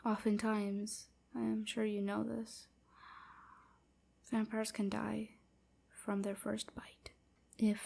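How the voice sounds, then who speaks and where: A woman playing a melancholy vampire slave in an intimate roleplay audio, confiding softly and hesitantly with a breathy delivery. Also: medium pitch. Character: weary, melancholy female vampire slave, confiding her past.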